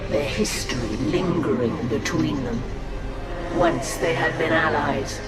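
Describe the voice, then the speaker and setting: A solemn epic chronicler played by a man narrates slowly over loud music. The delivery is grave and portentous, scripted for a game cinematic. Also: fairly high pitch, natural voice.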